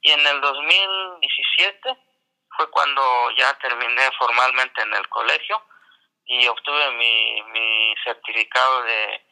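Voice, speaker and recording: Older guy on a radio call-in, raspy bright voice, lots of um and restarts, second-language spanish. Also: medium pitch, fairly even intonation, takes it slowly, low-quality recording. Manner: hesitant, many restarts. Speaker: interview guest, graduate. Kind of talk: radio interview, personal story.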